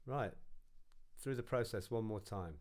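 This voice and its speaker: A man's voice: on the low side, unhurried, a little mellow. A man narrating an informal music production tutorial, casually thinking aloud as he works.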